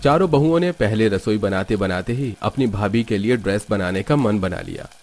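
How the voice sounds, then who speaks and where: A man's voice: lowish voice, expressive, normal speed, a little mellow. A man reading scripted storybook narration for a cartoon family tale.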